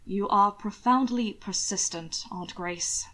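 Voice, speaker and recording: A woman's voice: mid-range voice, takes it slowly, sharp tone. A woman voicing a proud, irritable young aristocrat with clipped, sardonic formality, in an audiobook reading. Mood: sardonic warning. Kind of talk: amateur audiobook character reading.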